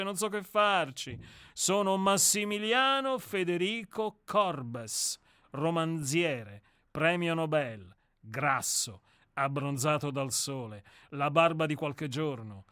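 A man's voice: fairly high pitch, fairly bright tone, smooth, no echo, studio quality. A man reading as a blunt, boozy old novelist. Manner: brusque, self-mocking first-person storytelling. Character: blunt, hard-drinking, fat bald male novelist. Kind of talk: amateur reading of a radio play.

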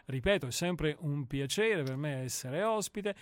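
A courteous man who is a guest on a studio radio show, speaking slowly with an animated rise and fall. His voice sits a little high, and the sound is close and dry. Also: dry recording. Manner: courteous, unhurried. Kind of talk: live radio chat.

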